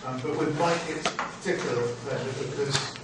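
Halting older man, far off in echo. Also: medium pitch. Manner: rambling, halting, jokey. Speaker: seminar host introducing a speaker. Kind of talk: informal spoken introduction. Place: small seminar room. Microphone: far camera microphone. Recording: distant mic, echoey noisy room.

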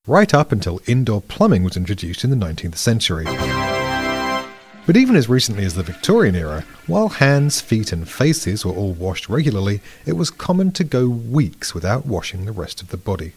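A British man giving a scripted podcast explanation, measured and dryly witty. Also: lowish voice, big pitch swings, slightly rough, faint music behind.

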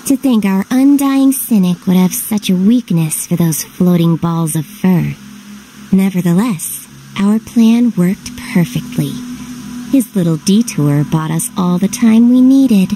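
Slow, haughty villainess played by a woman. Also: medium pitch, lively intonation, warm tone, natural voice, poor audio. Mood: smug, scheming. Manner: measured, formal, haughty. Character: cold, haughty female villain, scheming and theatrical. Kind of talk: video game voice acting. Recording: music behind.

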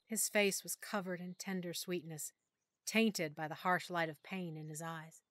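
A woman narrating an audiobook in the first person, quietly and with emotion. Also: lowish voice, steady tone, measured pace, dry recording.

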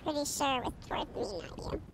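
Squeaky, very high-voiced woman. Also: fast. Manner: squeaky, sarcastic, deadpan comebacks. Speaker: criminal partner in roleplay. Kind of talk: in-character roleplay banter. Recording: clear background noise.

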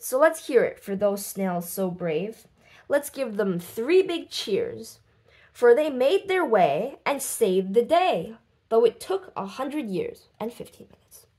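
A woman reading a children's book aloud expressively, with deliberate, dramatic phrasing and lifted voices for dialogue. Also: fairly high pitch. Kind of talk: expressive storybook read-aloud.

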